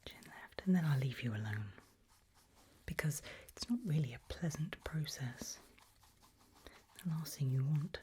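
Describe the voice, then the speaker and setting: A woman speaking in a gentle, breathy, unhurried whisper, performing an ASMR roleplay as a calm, caring mortician. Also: sits low, a little hiss or hum. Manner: gentle, unhurried, soothing murmur. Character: calm, caring mortician tending the soon-to-be reanimated dead.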